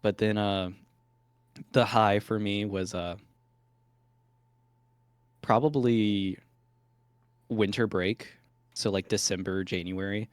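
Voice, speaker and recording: Young male musician, hesitant and filler-heavy, deep smooth voice, dry sound. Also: fairly even intonation, takes it slowly, pauses often, no echo. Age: young adult. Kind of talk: casual interview over a call.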